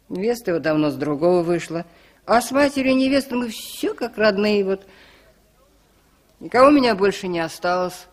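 A woman's voice: mid-range voice, close to an everyday voice. Village widow in her sixties, folksy, grumbling, careworn and wary, 1960s radio drama female actor. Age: older. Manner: plain-spoken, folksy, grumbling. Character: suspicious, careworn village widow of sixty-three.

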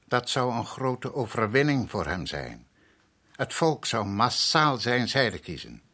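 An actor playing a proud island head priest in a radio drama, courteous and measured yet stubborn, with a husky voice. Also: male voice, mid-range voice, very animated, bright, forceful tone, full character voice. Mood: grave, warning. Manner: measured, courteous, evasive, insistent.

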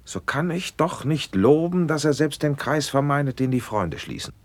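An actor playing an impatient, commanding prince in a classic German radio play, speaking stately verse with elevated rhetoric. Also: male voice, fairly high pitch, lively intonation, normal speed, dry recording, lightly acted. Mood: puzzled, reproachful.

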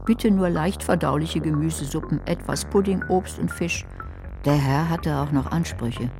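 A woman's voice: sits low, moderate pace, music in the background. An actress playing a sardonic, resentful woman who narrates her own crime story in a radio play, with a dry, biting manner. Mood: dry, wry. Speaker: narrator and lead character. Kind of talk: radio drama narration and dialogue.

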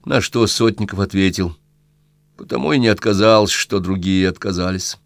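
A man's voice: low-pitched, normal speed, gravelly, no room sound. A man narrating literary prose as an audiobook reader, in scripted storytelling delivery.

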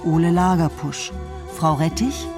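A woman's voice: low voice, fairly even intonation, a little slow. Woman announcer over music. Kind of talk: scripted cast announcement.

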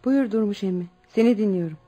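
A woman plays a young village orphan in a radio drama. She is grieving yet defiant, speaking at a middle pitch in an earnest, declamatory way, with clear music underneath. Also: fast talker, warm tone, close to an everyday voice. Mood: respectful. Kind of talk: radio theatre acting.

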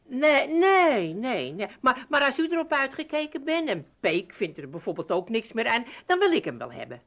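A no-nonsense Amsterdam housewife in a comic radio play. The woman's voice is high and very lively in pitch, with a dry, scolding, matter-of-fact delivery. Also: normal speed, exaggerated voice. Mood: placid. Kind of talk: 1980s comedy radio play acting.

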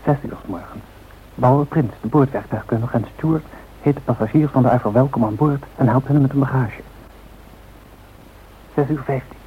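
A man playing the narrator of a radio play, reading the script in a measured, factual way. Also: mid-range voice, close to an everyday voice. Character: factual narrator chronicling an air race. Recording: tinny, faintly noisy old radio recording.

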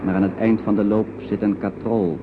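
An actor narrating a radio play as a grave storyteller, measured and literary. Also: male voice, lowish voice, little pitch movement, lightly acted. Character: grave male storyteller recounting strange dream scenes. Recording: tinny, noisy old radio play recording.

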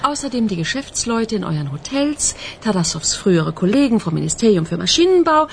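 A woman's voice: mid-range voice, big pitch swings, brisk, vocal fry, slight background noise. A woman playing a middle-aged police detective in a radio crime drama, matter-of-fact, wry and unyielding. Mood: firm, cool. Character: dry, unyielding female Moscow police detective.

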